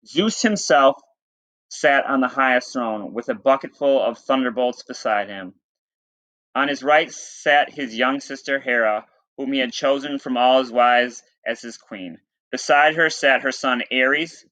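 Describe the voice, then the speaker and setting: A man's voice: medium pitch, bright, forceful tone. A man reading aloud as a teacher, mixing storybook narration with plain, informal explanatory asides. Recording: dull lo-fi recording.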